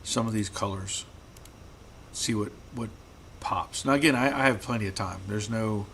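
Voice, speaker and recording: A man hosting a live stream from his garage, answering viewer comments in a relaxed, halting way, repeating words, his voice getting rough after long talking. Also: fairly low pitch, a little hiss or hum. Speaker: host.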